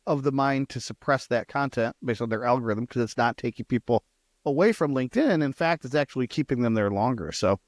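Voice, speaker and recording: A man's voice: mid-range voice, animated, fairly quick, no echo, studio quality. A man co-hosting a casual marketing podcast, talking in a reflective way and summing up points. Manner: sums up, reflective and conversational. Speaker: podcast co-host.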